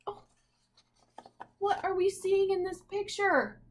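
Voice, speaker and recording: A woman in her twenties, a teacher reading a picture book aloud and asking children simple questions with pauses for answers. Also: very high-pitched. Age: 20s. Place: small room at home, indoors.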